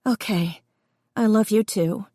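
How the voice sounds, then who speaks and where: A woman's voice: mid-range voice, slow, mellow, no echo, clean studio sound, voice pushed up. A woman voicing a caring but firm grown daughter in an audiobook, plain-spoken. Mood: tearful, tender. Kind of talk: audiobook character dialogue.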